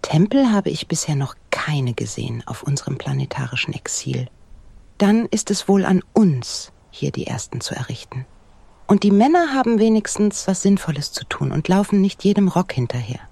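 An actress playing a sardonic, bawdy female expedition member in satirical radio drama, often half-whispering in a husky, breathy voice. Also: on the low side, big pitch swings, normal speed, mellow, no room sound, exaggerated voice. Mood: dry mockery.

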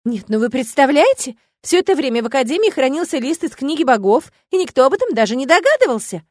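A woman voicing a gushing, excitable young witch. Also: high-pitched, fast talker, dry recording, clean studio sound, voice pushed up. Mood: excited. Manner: exclaiming, gushing.

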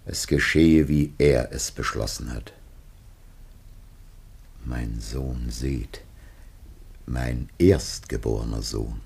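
A man's voice: a bass voice, dark tone, husky, heavily acted. An actor playing a weary, dying old father in a classical radio play, solemn, grave and lamenting in his delivery.

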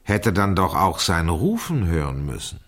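An actor playing an authoritative, persuasive investigator in a radio crime drama, husky, deliberate and emphatic. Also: male voice, low voice, big pitch swings, exaggerated voice. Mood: deductive, insistent. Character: authoritative, probing male investigator, measured and persuasive. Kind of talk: 1970s German radio crime drama acting.

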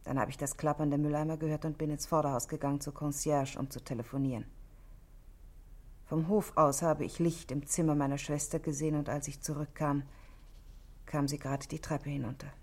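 An actress playing a cool, controlled married woman under questioning in a 1960s German radio play, speaking in a measured, precise, matter-of-fact way. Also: deep voice, level tone, a little mellow.

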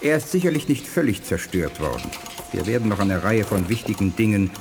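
An actor playing a dignified, benevolent alien scientist with a formal manner in a 1980s German radio play. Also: male voice, on the low side, very animated, fairly quick, gravelly, over music. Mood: reassuring.

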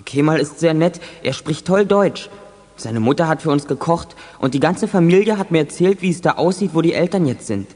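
A man's voice: a little high, animated, a little fast, darkish tone. A young male actor playing a plucky, polite schoolboy in a children's radio play, speaking earnestly and eagerly. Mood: apologetic, chatty. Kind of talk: 1980s German children's radio play acting.

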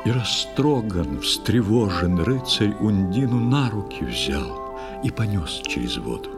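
Deep, animated male verse narrator. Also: slow, music in the background. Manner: expressive, literary declamation. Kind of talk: scripted dramatic narration of verse.

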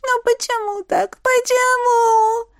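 A woman voicing a frantic, tearful young maidservant in an audiobook, shrill, wailing and pleading. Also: big pitch swings, fairly quick. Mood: heartbroken wailing. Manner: shrill, wailing, overwrought delivery.

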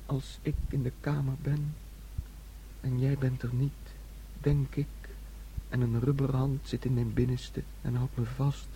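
A man's voice: mid-range voice, flat delivery, a little slow, mellow, slight background noise, close to an everyday voice, low-quality recording. An actor playing a childlike, dreamy young man in a radio play, with a naive, wistful storytelling manner. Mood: wistful, intimate. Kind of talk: Dutch radio play acting.

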